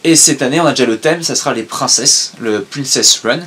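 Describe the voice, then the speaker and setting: A man's voice: medium pitch, fairly quick, bright, forceful tone. A young man co-hosting a casual chat, steadily explaining news and announcements. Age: young adult. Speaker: co-host, son. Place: room with shelves of toys and merchandise.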